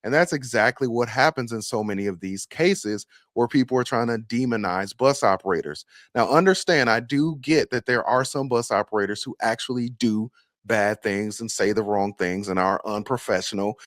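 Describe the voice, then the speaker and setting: A man's voice: lowish voice, normal speed, a bit bright, no echo. Emphatic man giving commentary, dry close sound. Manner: emphatic, opinionated. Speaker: bus operator and channel host. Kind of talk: commentary reacting to a clip and viewer comments.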